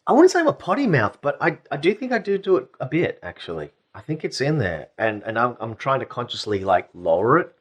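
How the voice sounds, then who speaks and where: Lively, jokey male podcast co-host. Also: medium pitch, brisk, few pauses, breathy edge. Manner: chatty, jokey, hedging with restarts. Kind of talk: casual chat.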